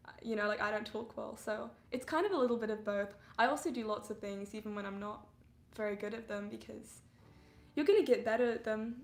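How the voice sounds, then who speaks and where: A woman's voice: on the high side, echoey room. A young woman from England with an English and Canadian mixed accent, chatting casually and giggling on a music livestream, her voice a little under the weather. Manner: chatty, giggly, lots of fillers. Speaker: livestreaming singer-songwriter.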